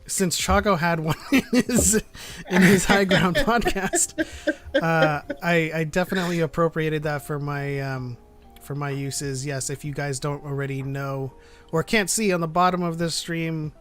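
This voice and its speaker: A man with a fairly high voice hosts an online stream. He talks casually and haltingly, reading comments from viewers as he goes. Light music plays in the background. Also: steady tone, fairly bright tone. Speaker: live stream host. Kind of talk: casual chat, reading out live chat. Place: online group live stream.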